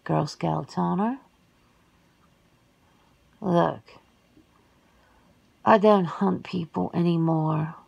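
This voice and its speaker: A woman voicing a centuries-old vampire sister in an ASMR roleplay, cool and worldly, measured and often slipping into whispers. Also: on the low side, a little mellow, highs cut off, heavily acted. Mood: cool, weary. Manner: measured, breathy, dropping into whispers.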